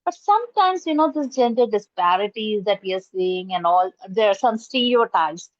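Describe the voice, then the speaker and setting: A woman's voice: mid-range voice, expressive, normal speed, no room sound, muffled recording. A middle-aged woman from India with an Indian accent, whose first language is Urdu, answering interview questions earnestly over a video call with many fillers and restarts. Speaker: guest, college professor and trainer. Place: indoors at home, curtain behind.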